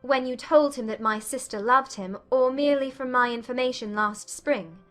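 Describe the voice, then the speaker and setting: A woman playing a witty, candid young gentlewoman in a dramatized classic romance audiobook, polite and gently probing in formal period English. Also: high voice, moderate pace, soft background music. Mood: gently teasing.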